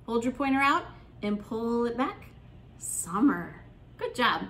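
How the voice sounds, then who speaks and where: A woman hosting an interactive children's storytime, speaking in an encouraging, sing-song way and pausing with questions for young listeners. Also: on the high side, big pitch swings, fairly bright tone, echoey room. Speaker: children's storytime librarian and host. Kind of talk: interactive children's storytime, reading aloud and leading songs.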